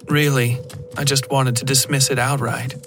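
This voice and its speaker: A man reading a first-person horror story as a scripted narration, in a measured, literary storytelling manner. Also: mid-range voice, little pitch movement, music in the background. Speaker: narrator of a fiction podcast.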